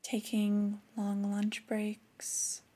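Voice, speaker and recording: Woman acting as displeased female boss, soft ASMR roleplay, breathy, mellow, measured pauses, level intonation. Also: mid-range voice, completely flat delivery. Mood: stern, measured. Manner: hesitant, measured, with drawn-out pauses.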